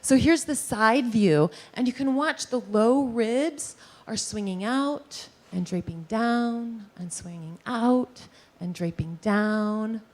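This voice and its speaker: A woman giving a lively presentation on posture and breathing to a live audience in a meeting room, guiding them through movements. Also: a little high, slow pace. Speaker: posture and breathing teacher giving a workplace talk.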